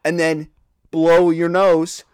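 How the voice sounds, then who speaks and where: A man's voice: a little high, monotone, takes it slowly, clean tone, no room sound, studio quality. A man co-hosting a podcast, rambling and crude in casual comedic chat, laughing a lot. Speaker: podcast co-host.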